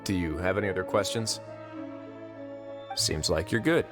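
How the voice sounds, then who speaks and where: An actor playing a composed, wry duke who runs a fortress in a video game. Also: male voice, low-pitched, moderate pace. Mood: measured. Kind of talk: scripted video game dialogue. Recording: long reverb, game music behind.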